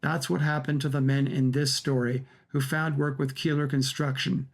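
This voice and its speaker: A man's voice: medium pitch, level tone, moderate pace, creaky. A man giving a prepared, first-person radio account as a documentary director, speaking in an earnest, emphatic way.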